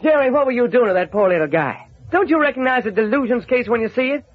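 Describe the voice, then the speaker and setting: A skeptical, plain-spoken police detective in a vintage radio drama. The voice is high and rough, brisk and matter-of-fact, through muffled, narrow sound. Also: male voice, animated. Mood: exasperated. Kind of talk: old-time radio crime drama acting.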